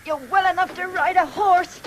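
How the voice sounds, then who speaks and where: High-voiced scolding farm woman. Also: steady tone, moderate pace, highs cut off. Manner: sharp-tongued, rustic. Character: scolding, hard-working, stubborn country farm woman. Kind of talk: radio drama acting. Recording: hissy 1970s radio drama recording.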